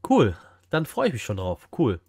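A man's voice: mid-range voice, huge pitch swings, moderate pace, darkish tone, a little hiss or hum. A man, chatting casually as a streamer and talking straight to his viewers in slang. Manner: slangy, greets and answers chat directly. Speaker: streamer reacting to a flea market video. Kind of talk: casual livestream chat and reaction. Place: small indoor room, streaming setup.

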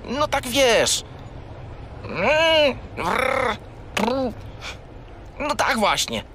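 Wildly animated cheeky furry creature. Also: male voice, very high voice, extremely slow, background noise, exaggerated voice. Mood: naive, rambling. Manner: rambling, naively evasive, whiny. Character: naughty, chatty, cheeky little furry toy companion. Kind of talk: children's radio play acting.

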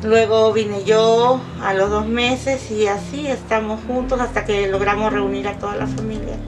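A woman's voice: fairly even intonation, normal speed. A woman in her fifties from Peru, answering interview questions with emphatic, earnest speech. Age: 50s. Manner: emphatic, rising pitch when stressing points. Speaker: interviewed migrant. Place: small indoor office. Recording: distant mic with background guitar music.

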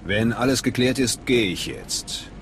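A man's voice: medium pitch, a little slow, fairly bright tone, a little hiss or hum, close to an everyday voice. A man playing a cool, ambitious young Sith acolyte in a video game, terse and self-assured. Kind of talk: video game cutscene acting.

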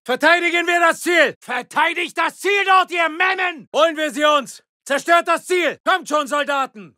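An actor playing a forceful soldier, barking urgent combat voice lines for a video game. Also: male voice, very high voice, dry recording, clean studio sound, full character voice. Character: gruff, commanding German soldier in battle, male.

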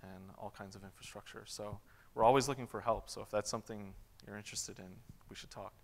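A man in his thirties answering audience questions at a tech conference, relaxed, with lots of "um"s and restarts. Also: lowish voice, quick pace, vocal fry. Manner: relaxed, many fillers and restarts. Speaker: conference keynote speaker. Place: large conference hall stage. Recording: long hall reverb.

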